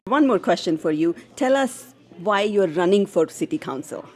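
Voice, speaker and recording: A woman's voice: mid-range voice, animated, normal speed, darkish tone. A woman interviewer asking brief, friendly questions.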